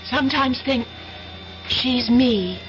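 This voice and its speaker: An actress playing an earnest, haunted young college student in a 1970s-style radio drama, hesitant and breathless with trailing pauses. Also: female voice, fairly high pitch, music in the background. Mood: anxious, obsessed. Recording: muffled old radio broadcast recording.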